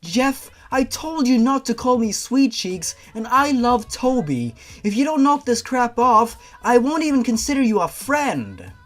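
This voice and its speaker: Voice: mid-range voice, big pitch swings, measured pace, hardly any pauses, a bit bright, pitched above the performer's own voice. A narrator voicing a feisty young woman who is fed up and scolds in a firm, threatening way. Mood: annoyed.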